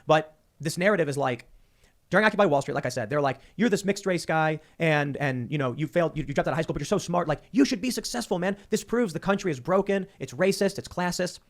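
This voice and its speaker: A man hosting a casual talk show, talking quickly and animatedly and often repeating words as he starts a sentence. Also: on the high side. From Chicago. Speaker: podcast host.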